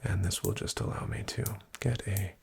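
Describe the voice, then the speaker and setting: A man whispering as a formal, dryly ironic doctor in a soft-spoken ASMR roleplay. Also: lowish voice, steady tone, moderate pace, vocal fry, slight background noise.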